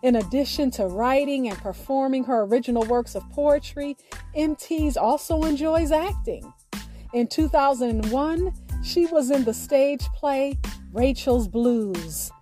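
A woman with a high, softly mellow voice presents a scripted radio introduction. She takes her time, drawing phrases out deliberately, with music faintly behind her. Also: lively intonation. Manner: measured, deliberate, drawn-out delivery. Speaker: radio show host. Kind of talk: scripted introduction of a featured guest.

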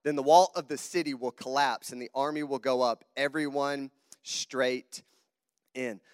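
A man's voice: mid-range voice, fairly even intonation, a little slow, smooth. A man with a Southern US accent preaching a sermon in a casual, earnest way, often turning to the congregation. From southern United States. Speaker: guest preacher. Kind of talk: sermon with conversational asides. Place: church auditorium. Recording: long hall reverb on the voice.

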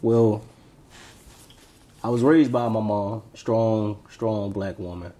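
Brief: man from Tampa, low mellow husky voice, reflective podcast co-host telling his story. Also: slow, low-quality recording. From Tampa, Florida, US. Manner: reflective, trails off quietly. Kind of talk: casual interview storytelling.

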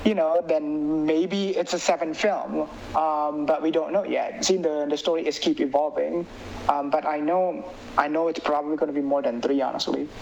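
A man from Indonesia with an Indonesian accent, chatting casually as an interviewed filmmaker in an animated, rambling way full of filler phrases. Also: a little high, moderate pace, creaky, a little hiss or hum, low-quality recording. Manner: animated, rambling, peppers talk with "like" and "you know". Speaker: filmmaker and writer being interviewed.

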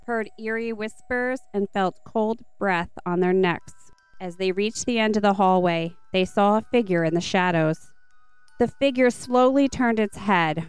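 Very clear-voiced woman reading children's stories. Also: medium pitch, dry recording. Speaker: podcast host and story reader. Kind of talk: scripted read-aloud storytelling for children.

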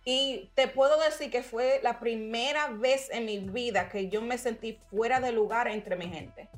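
A woman's voice: a little high, measured pace, soft background music. Brief: woman writer, chatty interview storyteller. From Dominican-American. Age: adult, mother. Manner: animated storyteller, drops into English phrases. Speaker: guest writer and community organizer. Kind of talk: casual interview chat.

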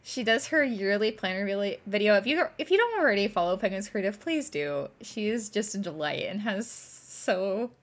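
A woman's voice: medium pitch, expressive, normal speed, hardly any pauses. A woman co-hosting a podcast, chatting casually in a bubbly way and laughing often. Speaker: podcast co-host. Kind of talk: casual chat.

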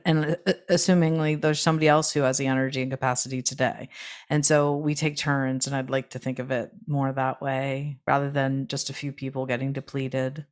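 Low-voiced woman thinking aloud. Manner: thoughtful, thinking aloud in loosely linked phrases. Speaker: podcast guest, neurodiversity advocate. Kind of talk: casual reflective interview talk.

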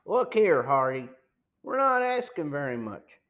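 A man's voice: on the high side, big pitch swings, unhurried, a bit bright, muffled recording. A man voicing a sour, threatening smuggler chief in an audiobook, pressing and sneering. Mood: taunting. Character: sour, menacing male smuggler gang chief. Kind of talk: audiobook villain dialogue.

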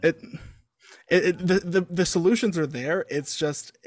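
A young adult man talking casually as a guest author, halting and full of fillers and restarts. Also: fairly high pitch, no room sound. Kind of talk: casual interview chat.

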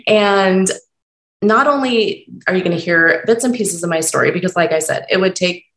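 A woman hosting a solo podcast, talking unscripted and encouraging while stumbling over her words, her voice croaky from being sick. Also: on the low side, normal speed, a bit bright, roomy sound, rough recording. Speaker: podcast host.

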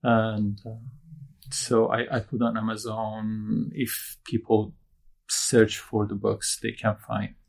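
A low, gravelly voice with a husky edge belongs to a young man from Iran whose mother tongue is Turkish. He speaks English, halting and restarting, and slips in dry jokes. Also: completely flat delivery. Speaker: guest. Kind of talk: candid interview conversation.

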